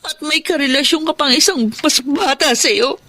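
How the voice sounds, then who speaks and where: A woman's voice: high-pitched, bright, forceful tone. A Filipino actress playing a heartbroken, reproachful long-time girlfriend in a tearful radio drama style. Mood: reproachful, hurt. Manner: tearful, slow, melodramatic.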